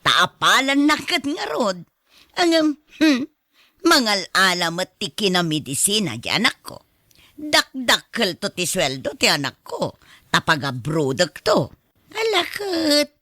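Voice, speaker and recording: A woman's voice: medium pitch, big pitch swings, unhurried, sharp tone, heavily acted. A woman with a Filipino accent playing a proud, doting middle-aged mother in a radio drama, effusive and boastful.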